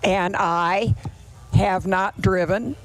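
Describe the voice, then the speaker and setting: Very slow-talking woman in her nineties. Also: mid-range voice, steady tone. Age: 90s. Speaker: podcast co-host, host's mother. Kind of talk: casual chat.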